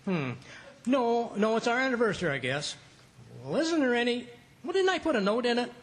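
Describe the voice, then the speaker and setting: A man's voice: very high-pitched, big pitch swings, fairly quick, full character voice, low-quality recording. A man playing a blustering, folksy, fibbing middle-aged husband in an old-time radio comedy. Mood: flustered, evasive. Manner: folksy, stammering when cornered.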